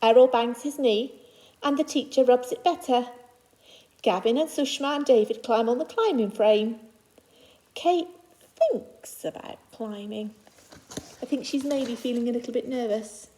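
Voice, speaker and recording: A woman's voice: a little high, expressive, a little slow, pauses often, dark tone, poor audio. A woman teacher reading a children's picture book aloud to young pupils, phrasing it simply and adding reassuring asides in her own words.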